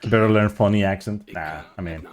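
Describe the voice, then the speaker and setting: A man's voice: fairly low pitch, fairly quick. A man streaming a speedrun, chatting casually and rambling as he searches for words. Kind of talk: casual chat while playing.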